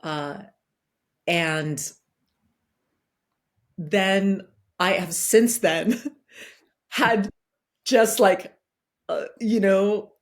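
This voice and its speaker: A woman's voice: mid-range voice, extremely slow, pauses often. A woman speaking as a podcast guest, reflective and searching, with frequent fillers and restarts. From Guatemalan and Danish roots, partly raised in the United States. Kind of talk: reflective conversational talk.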